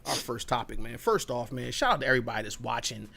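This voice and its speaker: A man hosting a casual gaming podcast, talking loosely in slang with plenty of swearing and shout-outs to his chat. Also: mid-range voice, animated, fast, gravelly. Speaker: podcast host.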